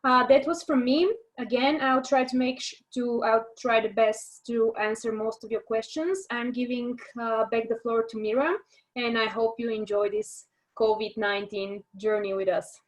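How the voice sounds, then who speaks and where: Slightly high-voiced webinar co-presenter woman. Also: fairly even intonation, normal speed, low-quality recording. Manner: friendly, welcoming introduction.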